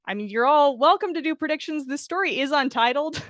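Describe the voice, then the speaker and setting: A woman's voice: high-pitched, expressive, fairly quick, fairly bright tone, no echo. A woman hosting a podcast livestream, informal and giggly, chatting and reading fan fiction aloud close to the mic. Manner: giggly, informal, swears casually. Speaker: podcast host. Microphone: close mic with pop filter.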